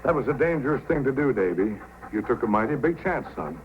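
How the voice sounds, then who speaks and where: A man's voice: mid-range voice, lively intonation, a little fast, slight background noise, lightly acted. An actor playing a confident, fatherly male sled-dog racer with a folksy, good-natured manner in an old-time radio drama. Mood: hearty, fatherly. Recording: dull old radio recording with music.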